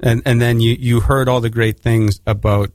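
Canadian male radio host, middle-pitched, dry close studio sound, rambling with restarts. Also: level tone, moderate pace, a little mellow, no room sound. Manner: rambling, many restarts and fillers. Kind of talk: casual radio interview.

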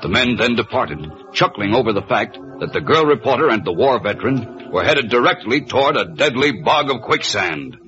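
A man narrates in dramatic 1940s radio fashion, theatrical and full of portent. His pitch is mid-range, with music clearly underneath. The old recording sounds muffled. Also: lively intonation, moderate pace, few pauses, sharp tone. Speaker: radio serial announcer and narrator.

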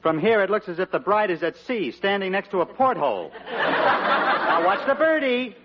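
An actor playing a prissy, gushing yet disdainful photographer in a 1940s radio comedy. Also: male voice, sits high, sing-song, moderate pace, very noisy. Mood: snippy, disdainful. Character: fussy, effusive, disdainful male portrait photographer. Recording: muffled vintage radio recording.

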